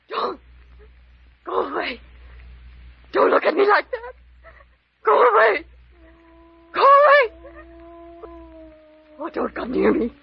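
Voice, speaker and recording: A woman's voice: extremely high pitch, creaky, full character voice. An actress playing a terrified young woman in a vintage radio melodrama, pleading and stammering through tears. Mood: terrified, hysterical. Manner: breathless, stammering, tearful pleading. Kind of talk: 1940s radio melodrama acting. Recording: dull old radio recording.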